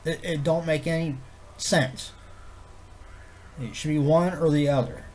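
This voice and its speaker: A man with a head cold, sounding congested and nasal, chatting to camera in a low-key, meandering way with loose swearing. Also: steady tone, a little slow, darkish tone, a little hiss or hum. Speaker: vlogger. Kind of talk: rambling casual chat to camera.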